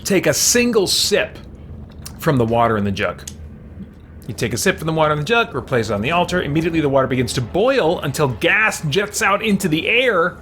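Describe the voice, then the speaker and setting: A man's voice: a little high, sing-song, brisk, a bit bright, a little hiss or hum. A man livestreaming a game in a casual way, musing aloud as he chats and reads game text. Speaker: game streamer.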